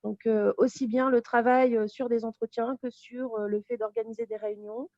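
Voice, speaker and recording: A woman's voice: on the high side, level tone, moderate pace, a little mellow, no echo, lo-fi sound. A woman giving an informal, explanatory presentation of a study programme as a teacher. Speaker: teacher presenting a real estate course.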